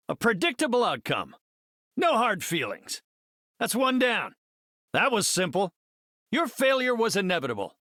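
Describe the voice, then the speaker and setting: An actor playing a brainy, confident scientist hero in a video game, speaking in an articulate, measured and slightly formal way. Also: male voice, high voice, bright, forceful tone, no room sound, studio quality, heavily acted. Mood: confident, triumphant. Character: brainy, confident, earnest male scientist superhero leader.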